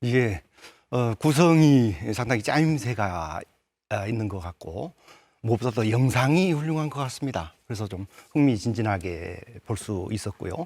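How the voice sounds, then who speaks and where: A middle-aged man heading a research institute, giving his views on a studio panel in a measured, considered way. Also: mid-range voice, animated, no echo. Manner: measured and considered, with soft fillers.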